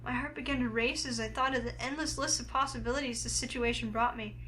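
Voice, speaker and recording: A woman's voice: on the high side, fast talker, a little hiss or hum, close to an everyday voice, rough recording. A woman voicing a flustered, wary young heroine who narrates a visual novel game in the first person. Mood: uneasy, reflective. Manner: expressive, flustered.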